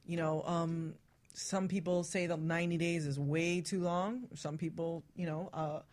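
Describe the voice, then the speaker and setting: A woman's voice: fairly low pitch, steady tone, measured pace, a little mellow. A woman hosting a radio talk show in a casual, chatty style.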